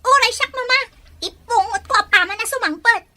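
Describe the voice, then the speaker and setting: A woman's voice: very high-pitched, brisk, crowd noise. A woman acting a young daughter in an Ilocano family audio drama. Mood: dutiful, plaintive.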